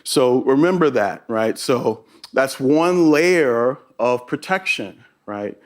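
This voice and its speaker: A man's voice: medium pitch, lively intonation, slow. Texas-accented man presenting at a tech conference. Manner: engaging, keeps asking "right?". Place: conference session room.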